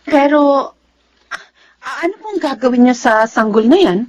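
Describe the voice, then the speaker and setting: A woman's voice: high voice, expressive, measured pace, a little mellow, low-quality recording. A woman acting a proud, emotional wife and mother in a melodramatic Filipino radio drama. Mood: bewildered. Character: proud, anguished infertile wife, later strict scolding perfectionist mother.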